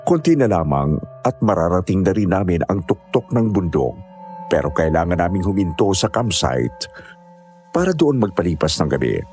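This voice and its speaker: A man narrates a scripted horror story in the first person. Soft music plays behind him. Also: low-pitched, big pitch swings, normal speed.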